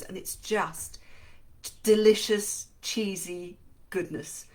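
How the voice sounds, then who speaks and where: A middle-aged woman with an English accent, co-hosting a live-streamed book show in a chatty, self-deprecating way, often laughing. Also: on the low side. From England and Switzerland. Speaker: podcast co-host. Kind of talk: live-streamed casual chat.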